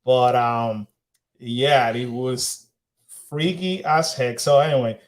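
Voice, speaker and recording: Male co-host, chatty livestream, mid-pitched, repeats himself a lot. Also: steady tone, takes it slowly. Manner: repetitive, restarts often. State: hoarse after losing voice. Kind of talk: casual livestream chat.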